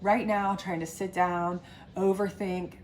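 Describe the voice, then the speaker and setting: A woman's voice: medium pitch, level tone, unhurried, a little mellow, a little hiss or hum. A congested woman giving a chatty, reassuring tarot love reading, full of fillers and asides. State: congested from a sinus infection. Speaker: tarot reader. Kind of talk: casual tarot reading to camera.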